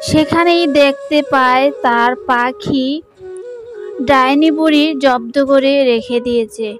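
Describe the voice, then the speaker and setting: Slow, high-voiced woman narrating. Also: soft background music. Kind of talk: scripted children's story narration.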